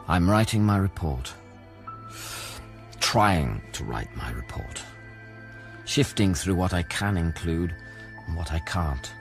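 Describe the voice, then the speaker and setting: Audio play lead: weary, introspective scientist, very low register, unhurried inward narration. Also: male voice, exaggerated voice. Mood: weary, pensive. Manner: measured, introspective, hushed at times. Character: brooding, weary male scientist narrating his private thoughts. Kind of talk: dramatic first-person narration in an audio play.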